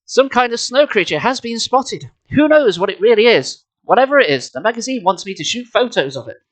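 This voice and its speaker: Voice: mid-range voice, big pitch swings, a little fast, dry recording, voice pushed up, natural voice. A streamer voicing an enthusiastic middle-aged father from a children's book, upbeat as he explains things. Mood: intrigued.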